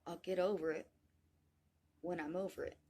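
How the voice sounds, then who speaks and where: Husky-voiced woman in her thirties. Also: lowish voice, fairly even intonation, moderate pace, dark tone. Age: 30s. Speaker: video creator. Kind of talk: personal video monologue.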